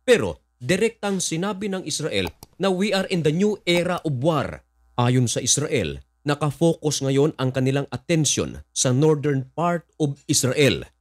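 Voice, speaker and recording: A man's voice: on the high side, sing-song, a little slow. A man with a Filipino accent reading scripted news commentary, explaining events and addressing listeners directly.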